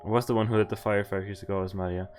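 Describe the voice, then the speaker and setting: A man's voice: fairly low pitch, steady tone, quick pace, a little mellow. Casual male game streamer, faint music behind. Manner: casual, sweary. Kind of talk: reading visual novel text aloud with casual commentary.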